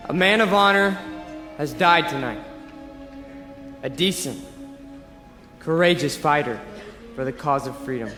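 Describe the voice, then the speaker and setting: An actor playing an intense, callous young fascist leader in a radio drama, forceful and commanding. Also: male voice, sits high, heavily acted. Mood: fervent oratory.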